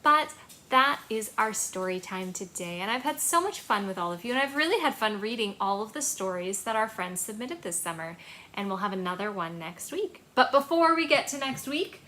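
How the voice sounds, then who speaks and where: A woman in her thirties hosting a children's storytime, speaking in an animated, encouraging way to young listeners in a small home room. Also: medium pitch, normal speed. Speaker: children's library storytime host. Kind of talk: children's storytime with action rhymes and reading.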